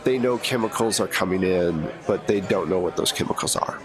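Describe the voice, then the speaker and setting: A man narrating as game master in a tabletop role-playing session, explaining scenes in a relaxed, laid-back way. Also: deep voice, fairly quick, music in the background.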